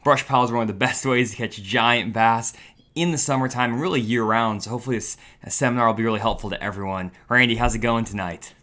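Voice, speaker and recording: Young guy hosting a fishing stream, chatty, a bit raspy and tired, mid pitch. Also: steady tone, quick pace, pushed and bright. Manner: upbeat, chatty, addresses viewers directly. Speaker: fishing livestream host. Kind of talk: casual livestream talk.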